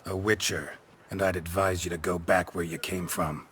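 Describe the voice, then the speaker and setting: An actor playing a gruff, laconic monster hunter in a video game, with a gravelly, hushed voice and deadpan, clipped delivery. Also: male voice, low-pitched, normal speed, slight background noise. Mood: firm, calm. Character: gruff, laconic male monster-hunting mercenary, world-weary and unflappable. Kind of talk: video game cutscene acting.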